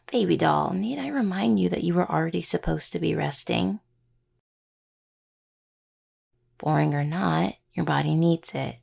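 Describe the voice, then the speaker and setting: A woman playing an affectionate, playfully stubborn young necromancer healer in an intimate roleplay audio, with a husky voice and a halting, casual, teasing way of talking. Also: on the low side, darkish tone, full character voice. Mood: fond, teasing. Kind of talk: intimate roleplay audio, one-sided dialogue to listener.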